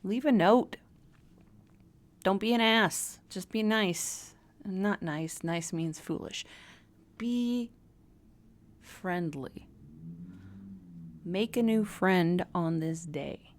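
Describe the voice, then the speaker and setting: A woman's voice: lowish voice, drawn-out words, pauses often. A woman hosting a casual home live stream, rambling and self-deprecating, laughing at herself as she talks. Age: adult caregiver, former radio worker.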